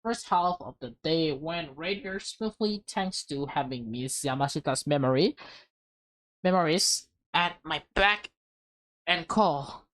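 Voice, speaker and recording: A woman's voice: deep voice, animated, a little slow, fairly bright tone, no room sound, low-quality recording. A woman reading visual novel text aloud with casual reactions, stumbling now and then over words and correcting herself.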